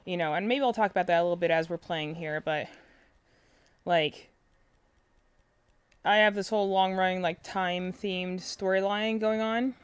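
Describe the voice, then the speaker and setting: A young woman with a quiet, soft voice chatting casually on a game stream, rambling with lots of fillers and restarts, close to her desk mic. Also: lowish voice, steady tone, normal speed, pauses often, clear, resonant tone, no room sound. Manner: rambling, filler-heavy, self-interrupting. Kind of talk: casual live-stream chat. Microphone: desk mic close to face.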